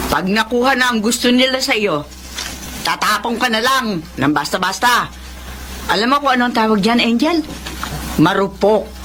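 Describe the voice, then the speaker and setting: A woman's voice: on the high side, a bit bright, slight background noise. An actress playing a scolding, protective older mother and grandmother in a radio drama, speaking in an emphatic, nagging way.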